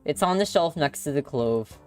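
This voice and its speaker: A woman's voice: sits low, lively intonation, moderate pace, darkish tone, soft background music. A woman reading a visual novel aloud as a young witch who runs a bakery, patient and mildly exasperated. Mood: matter-of-fact.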